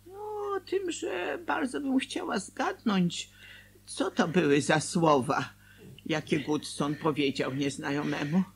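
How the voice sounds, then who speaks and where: A woman playing an anxious, guilt-ridden wife in a radio drama, halting and confiding, with a husky voice. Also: sits low, expressive, slight background noise, full character voice. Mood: scheming, hesitant.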